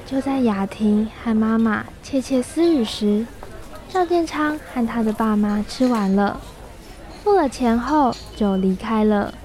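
A woman's voice: fairly high pitch, unhurried, mellow. A young woman reading scripted narration for a children's radio story. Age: young adult.

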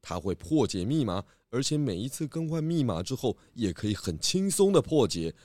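A man playing a shrewd, confident pig detective in a children's radio play, explaining deductions in a deliberate, theatrical, gently lecturing way. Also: medium pitch, very animated, dry recording.

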